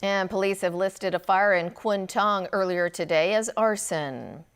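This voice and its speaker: Measured female news anchor. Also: medium pitch, smooth. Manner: measured, formal delivery. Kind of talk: scripted news reading.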